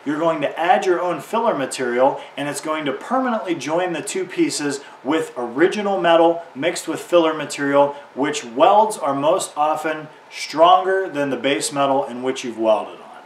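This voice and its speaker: Man in his thirties, higher-pitched voice, informal instructor, explanatory, roomy workshop recording, slightly distant mic. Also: expressive, moderate pace, hardly any pauses. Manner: explanatory, uses simple analogies. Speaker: welding instructor. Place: garage or workshop beside a tractor. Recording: roomy, distant mic, faint background noise.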